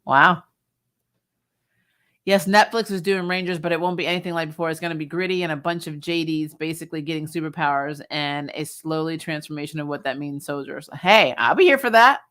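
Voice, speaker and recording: A woman with a fairly low voice that has a bright, forceful edge. She talks like a livestream host in a casual fan chat, informal and blunt, with swearing mixed in. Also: a little fast. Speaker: host of a fan recap show.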